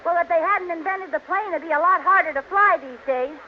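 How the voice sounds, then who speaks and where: A woman's voice: extremely high pitch, a little fast. A woman playing a scatterbrained, stubborn housewife in a 1940s radio sitcom, with theatrical comic delivery. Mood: confident, earnest. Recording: noisy, muffled old radio recording.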